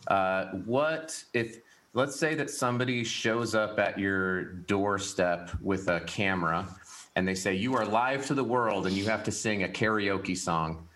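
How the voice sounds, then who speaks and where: A male host leads a casual interview, friendly and halting, full of fillers. His voice sits on the low side for a man. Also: a bit bright.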